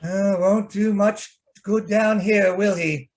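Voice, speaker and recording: A man's voice: high-pitched, steady tone, slow. A man playing a fussy, theatrical, peevish photographer in an old-time radio show, with an arch, genteel, hesitant manner. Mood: arch, dithering. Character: fussy, theatrical, grumbling male photographer, affectionate but peevish. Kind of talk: old-time radio comedy-mystery acting.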